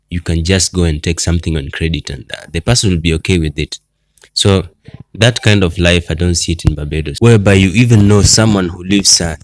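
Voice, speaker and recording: A young Kenyan man with a Kenyan accent, talking casually in a personal vlog, unhurried and pausing often with "uh". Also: deep voice, no room sound. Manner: unhurried, frequent "uh" pauses, list-like repetition. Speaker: vlogger.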